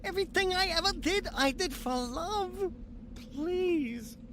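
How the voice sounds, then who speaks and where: A man's voice: very high voice, lively intonation, slow pace, background noise, heavily acted. An actor playing a desperate, weak-willed businessman, pleading and stammering in a video game. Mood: anguished, begging. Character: desperate, weak-willed failed amusement park owner. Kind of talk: dramatic video game acting. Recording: music behind the voice.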